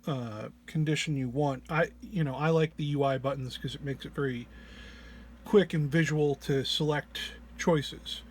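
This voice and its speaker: A man with a slightly higher-pitched voice presents an informal walk-through. He speaks slowly and hesitantly, with low energy, sounding a bit under the weather as he explains each step. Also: fairly even intonation, a little hiss or hum. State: under the weather, often clearing throat. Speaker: presenter of a music effects patch walk-through.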